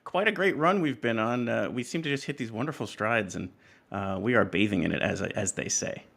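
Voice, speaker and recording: A man's voice: lowish voice, a little fast, vocal fry, a bit bright. A man hosting a casual chat podcast, talking in a rambling, hesitant way and often interrupting himself. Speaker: podcast host.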